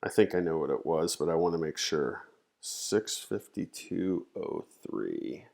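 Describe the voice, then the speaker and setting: A man's voice: very deep voice, sing-song, a little slow, vocal fry, darkish tone. A man in his thirties with a nasal, congested voice, teaching a live-stream chart lesson in a casual way that thinks aloud. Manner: conversational, thinks aloud, frequent "okay?" and "you know". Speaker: trading educator, live stream host.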